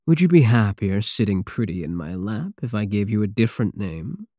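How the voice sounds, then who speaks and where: Male actor as a cocky space pirate king, mellow, expressive pitch, teasing endearments, possessive, intimate ASMR roleplay. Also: no room sound, clean studio sound. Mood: amused, seductive. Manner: slow, teasing, purring endearments, sardonic.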